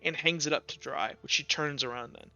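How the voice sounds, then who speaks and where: A man's voice: on the high side, brisk, vocal fry, muffled recording, music in the background. A man acting as game master, narrating a tabletop roleplaying game in an informal, improvised way.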